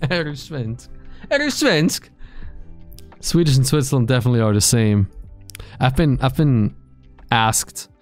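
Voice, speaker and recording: A man in his thirties streaming from a small gaming room into a boom mic, chatting casually with his viewers, joking and swearing freely. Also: expressive, measured pace, pauses often, faint music behind. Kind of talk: casual chat reading live chat. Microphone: studio mic on boom arm, headphones.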